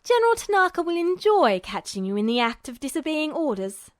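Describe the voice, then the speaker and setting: A woman's voice: sits high, sing-song, a little fast, no room sound, studio quality. A woman voicing a smug, bullying male military guard who gives curt commands, in a story reading. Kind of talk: audiobook-style character voice.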